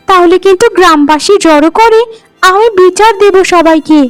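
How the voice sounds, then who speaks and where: Woman playing a shrill, quarrelsome young cartoon wife. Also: no room sound, poor audio. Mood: aggrieved, possessive. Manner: shrill scolding, boastful. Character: quarrelsome, vain young second wife, an orphan. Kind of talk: cartoon dialogue.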